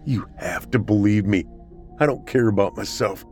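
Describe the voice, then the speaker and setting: A man's voice: mid-range voice, steady tone, very slow, vocal fry, a little mellow, faint music behind, pitched above the performer's own voice, heavily acted. A man voicing a desperate, hunted man in a horror story, pleading urgently in a strained voice.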